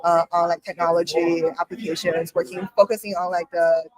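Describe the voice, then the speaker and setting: A woman's voice: lowish voice, level tone, brisk, crowd noise. A woman in her thirties, from China, co-hosting a casual podcast chat, talking freely with many ums and likes. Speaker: podcast co-host.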